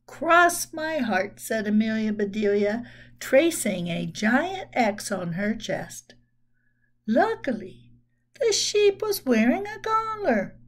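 A woman in her sixties reading a children's story aloud at home, expressive, with simple explanatory asides. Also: a little high, slow pace. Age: 60s. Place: small room at home.

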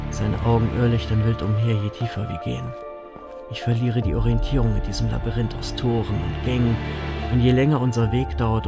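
A man playing a brooding reaper who narrates his horror story in a measured, somber way. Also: mid-range voice, lightly acted. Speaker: first-person narrator. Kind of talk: scripted dramatic narration, German audio drama.

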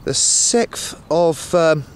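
A man with a voice a little higher than average talks casually to a handheld camera outdoors. He is matter-of-fact and hesitant, stopping often mid-thought as he explains things. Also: expressive, extremely slow, smooth. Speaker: radio hobbyist filming himself. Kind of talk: casual outdoor vlog narration. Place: outdoors on an open hilltop. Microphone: handheld camcorder, operator behind camera.

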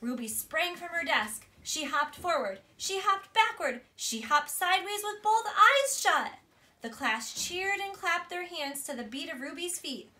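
A woman in her thirties reading a storybook aloud to a child in an expressive, teacherly way. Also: very high voice, a little slow. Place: small bedroom, on a bed. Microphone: camera a few feet away.

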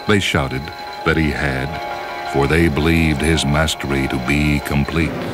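Very deep-voiced man, solemn storyteller. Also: steady tone, measured pace. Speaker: short story reader. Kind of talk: dramatic scripted narration of science fiction. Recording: voice over background music. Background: orchestral and piano music.

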